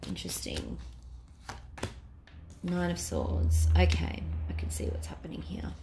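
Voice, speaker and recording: A woman with a fairly low voice and a cold that has her voice fading reads tarot cards aloud. She thinks as she goes, with plenty of ums and soft half-whispered asides. A faint hiss of noise sits under the recording. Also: wildly swooping pitch, measured pace, gravelly. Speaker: tarot reader. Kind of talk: casual tarot reading to camera.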